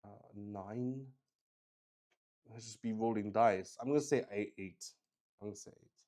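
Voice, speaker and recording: Slow, halting man narrating a dice game. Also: lowish voice, a little mellow. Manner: halting, thinking aloud. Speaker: solo tabletop roleplay player and narrator. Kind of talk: improvised game narration while rolling dice.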